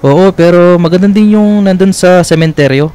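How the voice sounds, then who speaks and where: A man's voice: high-pitched, normal speed, warm tone, lightly acted. A man playing a devoted, sweet and teasing young boyfriend in a radio romance drama, with a Filipino accent and a tender, coaxing manner. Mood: playful.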